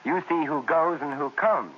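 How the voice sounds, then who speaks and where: An actor playing a suave, witty gentleman detective with a dry, sardonic manner, in 1940s radio acting. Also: on the high side, expressive, moderate pace, lightly acted. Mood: mocking. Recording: old radio broadcast, dull with faint hiss.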